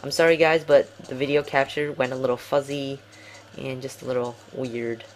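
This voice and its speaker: A man's voice: medium pitch, flat delivery, normal speed, a little hiss or hum. A young man giving casual game commentary at home, rambling and apologizing often, poking fun at himself. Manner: rambling, apologetic, self-deprecating. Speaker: gaming commentator.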